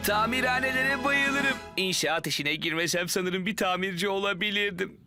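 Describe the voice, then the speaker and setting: A man's voice: high-pitched, moderate pace. A man voicing an eager, chatty talking digger in a children's cartoon, explaining things with enthusiastic self-importance. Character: eager, chatty male talking digger who loves garages. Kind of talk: animated children's cartoon dub. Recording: background music under the voice.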